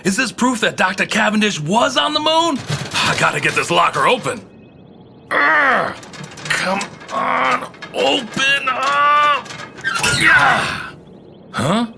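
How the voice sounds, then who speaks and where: A man playing an excitable, folksy snoop who mutters and exclaims to himself in an audio drama. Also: very high voice, takes it slowly, creaky, background noise, exaggerated voice. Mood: excited. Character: excitable male snoop poking around an abandoned moon base. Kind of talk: animated audio drama acting, talking to himself.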